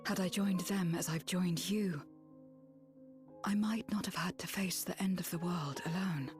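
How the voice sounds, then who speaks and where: A woman voicing a guarded, lonely young woman warrior in a game cutscene, speaking in a subdued, breathy half-whisper. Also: lowish voice, soft background music, lightly acted. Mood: somber. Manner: subdued, reflective, measured. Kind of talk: video game cutscene dialogue.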